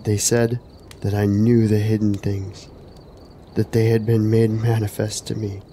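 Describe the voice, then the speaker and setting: An actor playing a haunted, weary warrior in a fantasy radio drama, speaking gravely and haltingly. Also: male voice, fairly low pitch, little pitch movement, mellow, slight background noise, close to an everyday voice. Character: broken, haunted brave warrior, solemn and weary.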